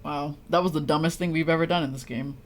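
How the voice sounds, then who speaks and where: Sarcastic, lower-pitched woman game streamer. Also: fairly even intonation, fast talker, background noise. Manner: sarcastic, blunt. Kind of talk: casual live game commentary.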